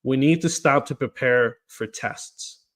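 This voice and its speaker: A man speaks as a candidate in a podcast interview, earnest and steady at a middle pitch. He often stumbles into his phrases by repeating a word before pressing his point. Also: measured pace. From Portugal. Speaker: political candidate.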